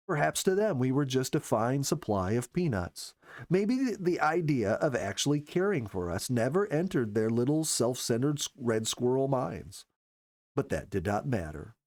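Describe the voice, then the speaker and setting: Expressive male storyteller with swooping intonation. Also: medium pitch, normal speed, no room sound, clean studio sound. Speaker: storyteller reading a book aloud. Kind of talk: expressive scripted first-person narration.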